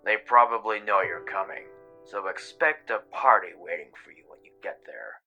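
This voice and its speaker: A man's voice: fairly low pitch, moderate pace, gravelly, dull audio, faint music behind. A man playing a businesslike spaceship crewman giving updates in a sci-fi comic dub. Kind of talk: comic dub character acting.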